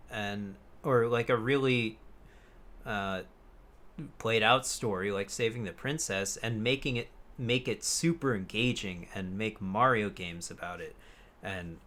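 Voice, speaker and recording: A man's voice: lowish voice, fairly bright tone. A young man co-hosting a podcast, chatting casually about video games in a rambling, informal way with plenty of swearing. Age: young adult. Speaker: podcast co-host.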